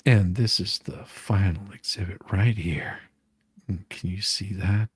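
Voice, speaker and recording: A man reading the part of a methodical lawyer questioning a witness in a dramatized deposition, formal and a little halting. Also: deep voice, steady tone, breathy edge, no echo, full character voice. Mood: businesslike.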